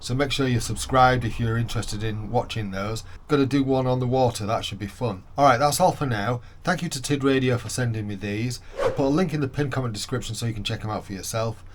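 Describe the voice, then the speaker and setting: A man's voice: medium pitch, a little fast, hardly any pauses, a little hiss or hum. A man with a British accent chatting casually to camera as a product reviewer. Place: in and around a van.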